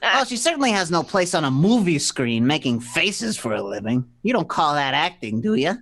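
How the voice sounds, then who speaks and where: A woman playing an excitable, smug, romantic male writer and explorer in a stagey comedic radio play, with theatrical delivery. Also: low-pitched, moderate pace, heavily acted. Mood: indignant. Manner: exuberant, theatrical, bickering.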